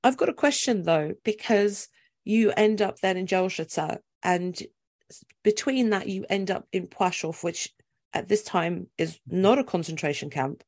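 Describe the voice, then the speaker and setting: A woman's voice: mid-range voice, lively intonation, unhurried, darkish tone, no room sound, studio quality. A woman co-hosting a podcast, putting questions to a guest in a conversational way. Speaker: podcast co-host, interviewer.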